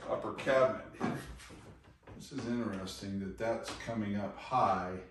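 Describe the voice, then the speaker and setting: A man's voice: on the low side, unhurried. An older man doing a casual home-repair demonstration, chatty and folksy, often checking in with viewers. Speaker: DIY home repair presenter. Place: small kitchen indoors. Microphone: camera mic on a tripod, some distance off. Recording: echoey room with clear work noise.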